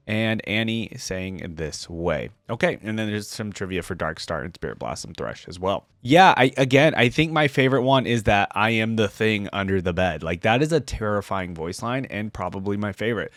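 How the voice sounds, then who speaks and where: A man gives casual commentary as host of a gaming reaction video. His voice sits fairly low in a very dry recording. He talks conversationally, rambling a little and thinking out loud. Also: lively intonation, normal speed, dry recording.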